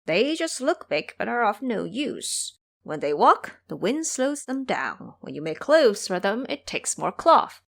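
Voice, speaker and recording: A woman's voice: on the high side, sing-song, unhurried, gravelly, pitched above the performer's own voice, exaggerated voice. Lady doing a cocky little monkey king for audio drama, teasing and theatrical, voice jumps all over the place. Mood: boastful. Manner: boastful, preachy, theatrical. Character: cocky, teasing male monkey king, small but sturdy.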